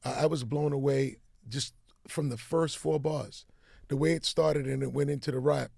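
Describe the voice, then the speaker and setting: A middle-aged man with a raspy voice, a music executive telling stories freely as a guest in a casual radio-studio interview. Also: medium pitch, moderate pace, dry recording. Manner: animated storyteller, talks freely.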